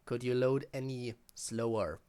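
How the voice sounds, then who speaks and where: A man's voice: medium pitch, fairly even intonation, unhurried, mellow, clear, resonant tone. A man doing casual gameplay commentary as a streamer, blunt, grumbling and digressive. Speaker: game streamer.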